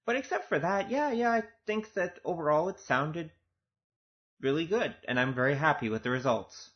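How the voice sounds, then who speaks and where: A man's voice: on the high side, animated, moderate pace. A man speaking as an audio drama producer, giving an informal, earnest and conversational director's commentary. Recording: dull, lo-fi recording.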